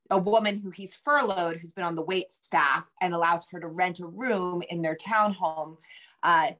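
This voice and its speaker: A middle-aged woman answering as a guest author, conversational and explanatory, often halting to rephrase herself. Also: lowish voice, a bit bright. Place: home room with bookshelves, indoors. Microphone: video call.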